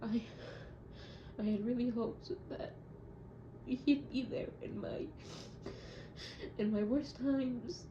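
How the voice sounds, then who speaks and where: A young adult woman speaking in a hesitant, halting and reflective way, as in a confessional audio diary. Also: fairly high pitch, fairly even intonation. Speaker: audio diarist. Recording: muffled, echoey room with loud noise.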